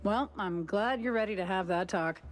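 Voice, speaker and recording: A woman's voice: mid-range voice, normal speed, lightly acted. An actress playing a wry, teasing woman who needles a detective in a noir cartoon game.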